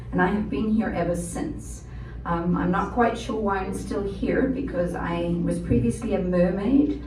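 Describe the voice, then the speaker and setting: A woman from Africa, welcoming an audience and hosting an event in a chatty, self-joking way. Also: mid-range voice. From South Africa. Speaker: event host. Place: office event room. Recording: roomy, distant, noisy room.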